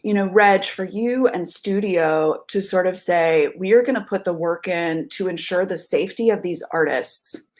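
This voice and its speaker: A woman's voice: lowish voice, moderate pace, few pauses. A woman in her thirties giving reflective, earnest and emphatic interview answers as a theatre director, on a video call from a room at home. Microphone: video call with headphones.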